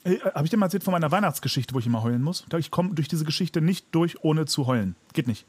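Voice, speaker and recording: A man's voice: fairly high pitch, lively intonation, quick pace, husky, no room sound. A man co-hosting a podcast in casual chat, speaking emphatically and repeating words for emphasis. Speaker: podcast co-host.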